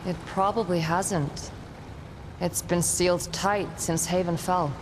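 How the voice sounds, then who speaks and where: A woman's voice: fairly low pitch, fairly even intonation, slow pace, noisy background. A woman playing a guarded young warrior in a video game, speaking in a dry, understated way. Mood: dry, wry. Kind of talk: video game cutscene acting.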